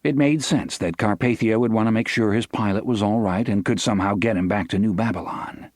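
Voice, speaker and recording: Low-voiced man narrating an audiobook, very dry. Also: fairly quick, darkish tone, dry recording. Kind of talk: dramatic scripted narration.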